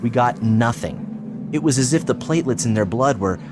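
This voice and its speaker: An actor playing an earnest, persuasive psychiatrist in an audio drama, explaining in a measured, solemn way. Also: male voice, medium pitch, expressive, close to an everyday voice. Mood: intrigued, grave. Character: earnest, persuasive male psychiatrist pressing his case. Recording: clearly noisy background ambience.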